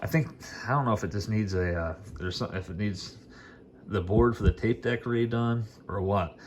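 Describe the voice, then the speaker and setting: Low-voiced man chatting casually, noisy recording. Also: moderate pace, a little mellow. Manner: informal, colloquial, rambling, enthusiastic. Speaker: vintage hi-fi hobbyist demonstrating gear. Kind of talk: casual, unscripted product demo chat.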